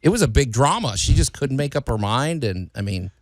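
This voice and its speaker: Male radio host from Chicago, mid-pitched, easygoing, dry studio sound. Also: sing-song, no echo. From Chicago, USA. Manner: easygoing, halting, many fillers. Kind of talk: casual radio interview.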